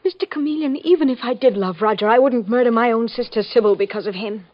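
An indignant young woman, defiant and emphatic, speaks in a high voice, as an actress plays her. It is 1940s radio acting. The recording sounds dull and lo-fi. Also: fast talker, lightly acted. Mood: defensive. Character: young woman, the nervous, defiant sister of a murder victim.

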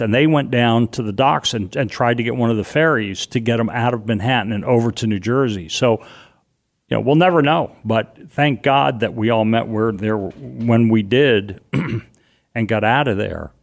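A middle-aged man with a loud voice, telling a personal story as an interview guest, animated and vivid, acting out what people said. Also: mid-range voice, normal speed, creaky. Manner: vivid, animated retelling with acted-out quotes.

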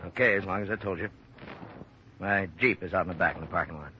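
A man's voice: deep voice, fast, lightly acted. An actor playing a plain-spoken small-town Western sheriff in a 1950s radio drama, blunt and folksy in his country way of talking. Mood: frank. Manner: folksy, blunt, drawn-to-the-point country speech. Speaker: actor in supporting part. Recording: muffled, noisy old radio broadcast.

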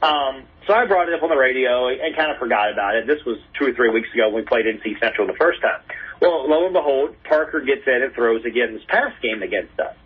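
A man's voice: mid-range voice, lively intonation, quick pace, breathy edge. An American man, a sports announcer, chatting casually as an interview guest over a remote call. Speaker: baseball radio play-by-play announcer, podcast guest. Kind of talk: casual anecdotal interview chat. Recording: narrow, telephone-like call sound.

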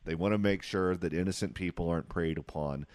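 A man's voice: sits low, brisk, darkish tone, no echo. A man hosting a radio talk show, interviewing a guest with long, conversational questions.

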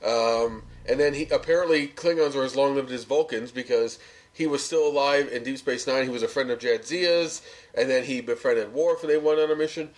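A man's voice: medium pitch, fairly quick, rough recording. A man chatting casually as a podcast co-host, recapping a television episode.

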